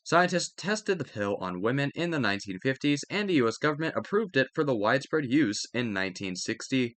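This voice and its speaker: A man's voice: mid-range voice, very animated, a little fast, fairly bright tone, no room sound. A man reading a textbook aloud as a study-video host, stumbling over words now and then and correcting himself.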